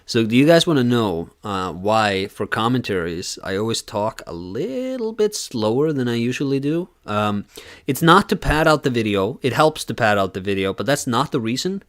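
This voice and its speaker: A man's voice: medium pitch, big pitch swings, normal speed. A man casually reacting to a video as a streamer, sarcastic and rambling, with a nasal voice.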